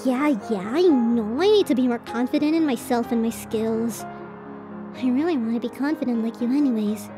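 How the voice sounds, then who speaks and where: A woman's voice: a little high, normal speed, over music, close to an everyday voice. A woman voicing a bashful, tender tsundere college girlfriend in a romantic ASMR roleplay, flustered and hesitant as she swings between protest and affection. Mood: shy, earnest.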